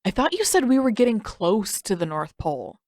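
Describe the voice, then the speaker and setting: A woman narrator acts a complaining teenage boy in an audio storybook. He is sarcastic and grumbly, arguing with lively swings of pitch. The sound is very dry. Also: normal speed, dry recording.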